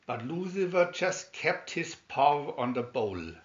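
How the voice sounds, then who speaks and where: Male narrator for children's fairy tale: unhurried read-aloud, slightly high voice, echoey home recording. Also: sing-song, highs cut off, slight background noise. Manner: deliberate read-aloud delivery. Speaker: storybook narrator.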